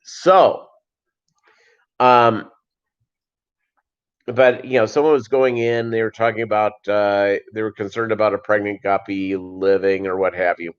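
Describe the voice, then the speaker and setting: A man hosting a casual livestream, chatting and answering viewers in a rambling, halting, conversational way. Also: fairly low pitch, fairly even intonation, clean tone. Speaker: livestream host. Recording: dull, lo-fi livestream audio.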